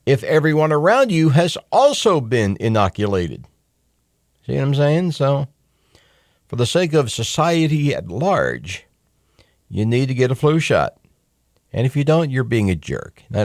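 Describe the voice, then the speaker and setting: A man's voice: medium pitch, no room sound, studio quality. A middle-aged man hosting a talk radio show, speaking bluntly with some mild cursing and plenty of fillers and restarts. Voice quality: blunt, mildly profane, conversational. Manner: lively, hectoring, with frequent fillers and restarts. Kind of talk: call-in talk radio monologue. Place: radio studio. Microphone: studio microphone.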